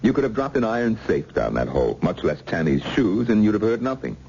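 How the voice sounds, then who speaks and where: An actor playing an assured male official in a radio drama, speaking in a gravelly, authoritative and emphatic way. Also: lowish voice, big pitch swings, fairly quick, darkish tone. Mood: assured, approving. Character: assured male official running a secret endurance experiment. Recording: muffled, faintly noisy old radio recording.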